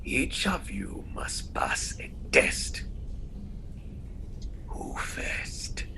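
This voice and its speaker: A man playing a menacing, sarcastic storybook bogeyman in a radio play, with a gravelly, whispery voice and a theatrical, taunting delivery. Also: fairly low pitch, huge pitch swings, very slow, heavily acted. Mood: scornful. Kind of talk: irreverent radio play acting. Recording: noisy recording.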